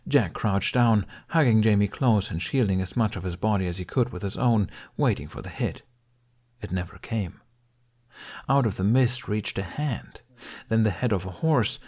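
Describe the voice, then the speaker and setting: Unhurried man reading fantasy narration. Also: fairly low pitch, darkish tone. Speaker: fan fiction audiobook reader.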